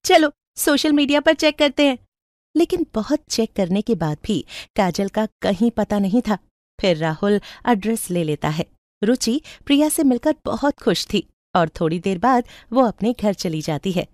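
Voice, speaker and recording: A woman's voice: mid-range voice, lively intonation, normal speed, a little mellow, no room sound, clean studio sound. A woman reading scripted storybook narration.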